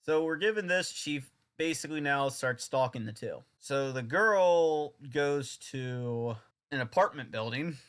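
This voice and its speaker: A man's voice: fairly high pitch, animated, measured pace, a bit bright, clean tone. A young man reviewing an old anime dub in a casual, sarcastic, rambling way.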